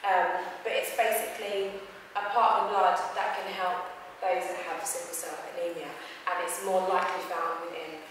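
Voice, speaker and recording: A woman's voice: on the low side, flat delivery, normal speed, a bit bright. A woman moderating a panel, chatty and reading out statistics. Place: large hall with audience. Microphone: far room camera. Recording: echoey hall from far off, noisy.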